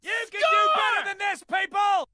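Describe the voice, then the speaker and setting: A man's voice: very high-pitched, big pitch swings, moderate pace, pushed and bright, no room sound, raised voice, clean studio sound, heavily acted. An actor playing a hot-blooded infantry commander barking at his troops with drill-sergeant bluster in a military video game. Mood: aggressive, urgent.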